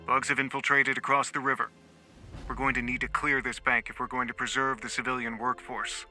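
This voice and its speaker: A cynical military superior briefing troops in a sci-fi war game. He speaks in a measured, dry, detached way, with music playing behind him. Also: medium pitch, level tone, pushed and bright. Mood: coolly instructing. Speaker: mission commander. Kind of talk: video game cutscene acting.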